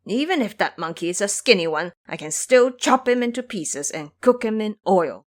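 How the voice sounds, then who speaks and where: Woman doing angry monster bad guy, scornful threats, swoopy pitch, audio drama. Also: lively intonation, moderate pace, natural voice. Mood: outraged menace. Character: outraged, bloodthirsty male monster villain in yellow robe. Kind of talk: audio drama character acting.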